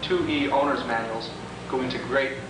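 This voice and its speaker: A man of average pitch narrates a homemade 1980s training video. He explains procedures methodically and formally, one step at a time. The sound is very reverberant and distant, with clear background noise. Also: fairly even intonation, moderate pace. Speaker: product demonstrator and instructor. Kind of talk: instructional training-tape walkthrough.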